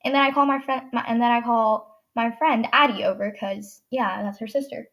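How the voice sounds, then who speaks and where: A woman's voice: on the high side, steady tone, moderate pace, fairly bright tone. A teenage girl hosting a podcast, telling a story in a rambling way with lots of fillers and self-corrections, her nose stuffed up. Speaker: podcast host. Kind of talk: casual storytelling monologue.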